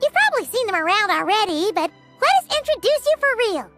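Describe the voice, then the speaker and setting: A woman's voice: extremely high pitch, big pitch swings, a little fast, fairly bright tone, heavily acted. A woman voicing a chirpy little childlike companion creature in an anime game, with eager, exclamatory delivery. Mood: friendly. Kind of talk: anime video game voice acting. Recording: music playing behind the voice.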